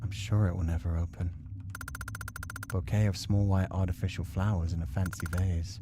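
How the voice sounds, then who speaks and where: A man's voice: low voice, level tone, normal speed, background noise. A man doing casual let's play commentary, muttering sweary asides and reading bits of game text aloud to himself. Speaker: gaming streamer.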